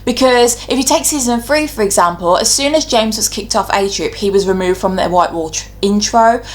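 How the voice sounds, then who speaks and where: A woman's voice: medium pitch, moderate pace. A young adult woman giving casual, opinionated video commentary, talking chattily and explaining her reasoning point by point. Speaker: YouTube host giving ranked commentary.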